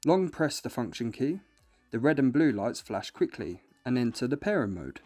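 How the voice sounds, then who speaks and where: A man's voice: mid-range voice, big pitch swings, moderate pace, a little mellow. A man reading a scripted product review in an instructional, step-by-step way. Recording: music bed behind voice. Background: music.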